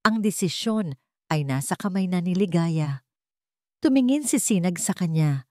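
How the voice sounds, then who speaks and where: A woman reading a scripted dramatic story as narrator, with measured, deliberate phrasing. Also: lowish voice, animated, a little mellow, no echo, studio quality.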